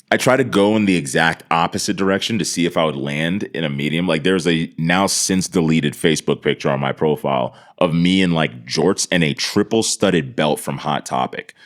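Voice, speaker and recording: A man's voice: deep voice, normal speed, fairly bright tone. A man from the United States, a podcast guest telling casual comedic stories with profane, slangy exaggeration.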